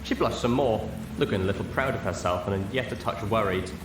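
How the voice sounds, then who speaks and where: A man reading a story aloud as narrator, in an expressive scripted style. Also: on the low side, brisk. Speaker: audiobook narrator. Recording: roomy, echoey, clear background noise.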